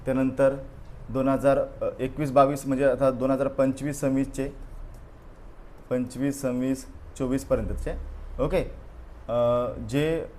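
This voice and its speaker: A man's voice: medium pitch, little pitch movement, measured pace, mellow, slight background noise. Man teaching an exam-prep class, filler-heavy. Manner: repeats "बरोबर आहे" and "ओके" as fillers, prods students directly. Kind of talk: live class going over an exam paper.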